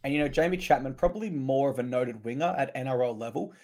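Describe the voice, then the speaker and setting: A man co-hosting a sports podcast, his voice hoarse and still recovering, reeling off stats with enthusiasm. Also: mid-range voice, quick pace, darkish tone. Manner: enthusiastic, stat-heavy delivery. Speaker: podcast co-host. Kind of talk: casual sports podcast chat.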